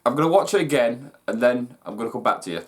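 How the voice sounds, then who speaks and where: A man's voice: mid-range voice, expressive, fast talker. A man hosting a fan reaction video, talking casually with enthusiastic, emphatic delivery. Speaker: host of a fan reaction channel. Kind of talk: excited trailer reaction and casual breakdown.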